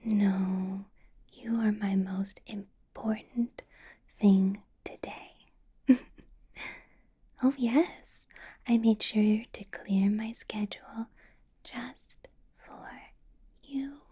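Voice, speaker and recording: A woman voicing a sweetly possessive, menacing female vampire boss in a soft, breathy ASMR whisper. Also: mid-range voice, steady tone, very slow, long pauses, full character voice. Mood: silkily menacing. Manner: sweetly possessive, amused with an undercurrent of threat. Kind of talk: ASMR roleplay.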